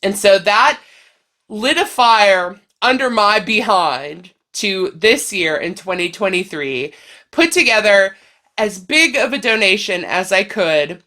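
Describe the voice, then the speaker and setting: A woman with a bright, forward voice chats casually as a craft vlog host. She takes her time and stretches words playfully for emphasis. A head cold leaves her sounding a little stuffy. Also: medium pitch, lively intonation, takes it slowly. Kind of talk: casual chatty talk to camera.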